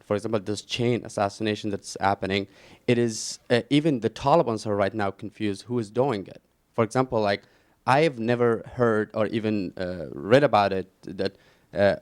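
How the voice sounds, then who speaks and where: A man in the audience at a panel, talking at length with many fillers such as "kind of, like". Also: fairly low pitch. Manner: rambling, heavy filler words. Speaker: audience member.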